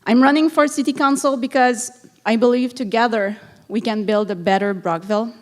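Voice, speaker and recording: A woman's voice: fairly high pitch, fairly even intonation, moderate pace. A woman from Montreal with a Quebec French accent, reading a prepared speech as a candidate at a city council debate. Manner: earnest, reads a prepared statement.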